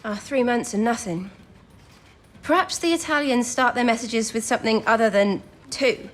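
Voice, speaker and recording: An actress playing a young wartime codebreaker who thinks aloud, eager and self-doubting but daring, in an audio drama. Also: female voice, on the high side, normal speed, lightly acted. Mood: earnest.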